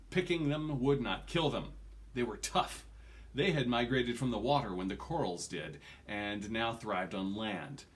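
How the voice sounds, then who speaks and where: Middle-aged man reading a fantasy novel aloud. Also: medium pitch. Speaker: amateur book reader. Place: small furnished room at home.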